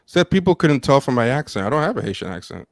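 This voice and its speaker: A man in his thirties co-hosting a casual livestream chat from home, joking at his own expense, laughing often and pausing on fillers. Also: mid-range voice, big pitch swings, gravelly. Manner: self-deprecating, laughs often, trails off with fillers. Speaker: podcast co-host. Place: home room with plants and posters. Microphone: studio mic with headset, on a video call.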